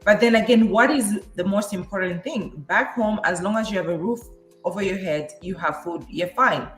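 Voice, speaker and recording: A woman in her forties chats on a talk show, agreeing readily and laughing easily. Her voice sounds roomy and echoey. Soft music sits in the background. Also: medium pitch, normal speed. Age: 40s. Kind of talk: casual talk show chat.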